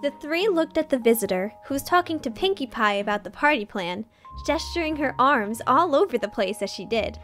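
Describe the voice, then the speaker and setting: High-voiced woman narrating a story. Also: animated, brisk, a bit bright, soft background music. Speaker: fan fiction narrator.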